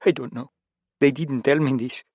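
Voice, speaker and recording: A man's voice: medium pitch, fairly even intonation, normal speed, darkish tone, no room sound, highs cut off, pitched above the performer's own voice, lightly acted. A man voicing an anguished man freed from a demon, sobbing and screaming his pleas. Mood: earnest.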